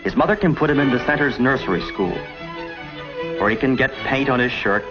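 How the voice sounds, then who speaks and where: A man's voice: medium pitch, fairly quick. Male narrator, American, 1950s promo-film script read, music underneath, muffled vintage soundtrack. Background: background music.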